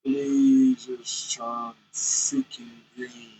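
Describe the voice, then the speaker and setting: Slow-talking, rambling trucker. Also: male voice, medium pitch, sing-song, background noise. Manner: rambling, candid, self-conscious. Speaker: truck driver vlogger. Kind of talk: casual chat while driving. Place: cab of a semi truck.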